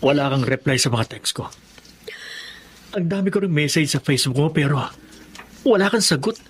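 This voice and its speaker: A man's voice: on the high side, animated, moderate pace, husky. A man acting an earnest, suspicious husband in a Filipino radio drama. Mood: pleading.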